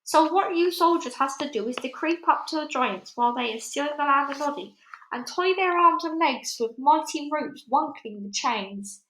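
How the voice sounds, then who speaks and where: A woman's voice: sits high, expressive, normal speed, a bit bright, roomy sound, slight background noise, pitched above the performer's own voice, rough recording. A woman voicing a friendly, stubborn male giant who speaks ungrammatically in muddled, invented words. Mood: eager, urgent.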